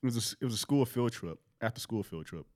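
A man's voice: mid-range voice, animated, quick pace, darkish tone. A man from North Carolina co-hosting a podcast, chatting casually and telling stories. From North Carolina, United States. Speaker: podcast co-host. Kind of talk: casual chat and storytelling.

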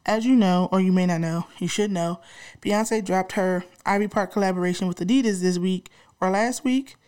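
A woman's voice: mid-range voice, a little mellow. Congested young woman podcast host, slangy, talks right to listeners. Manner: chatty, slangy, addresses listeners directly. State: congested, slightly nasal from a cold. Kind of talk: casual podcast chat.

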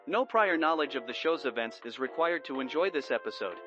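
A man's voice: mid-range voice, lively intonation, fairly quick. A man reading a scripted introduction as an announcer, in slightly dull sound. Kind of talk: scripted narration. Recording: dull sound under loud music. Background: music.

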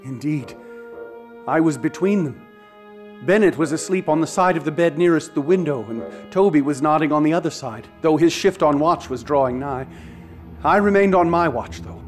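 An actor playing an eccentric ghost hunter in a radio drama, who speaks in formal, old-fashioned sentences. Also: male voice, on the high side, normal speed, natural voice. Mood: ominous. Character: grave, eccentric male ghost hunter telling a dark tale. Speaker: witness recounting a haunting. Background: music.

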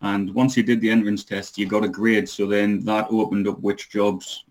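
Low-voiced man, hesitant. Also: flat delivery, normal speed, a little mellow. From Newcastle, England. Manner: hesitant, many ums and uhs, easygoing. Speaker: guest. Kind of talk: casual interview.

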